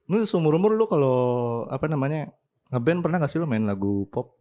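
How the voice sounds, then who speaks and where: A man's voice: fairly high pitch, big pitch swings, normal speed, mellow. A man with a Jakarta Indonesian accent hosting a podcast in casual, slangy chat, jokey and prompting with questions. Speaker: podcast host.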